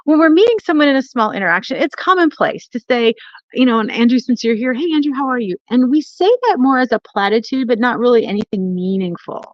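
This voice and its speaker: A woman's voice: fairly high pitch, expressive, fairly quick, no room sound, highs cut off. A woman hosting a live online coaching talk in an upbeat, conversational and emphatic way, speaking from indoors at a home. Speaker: networking coach and livestream host.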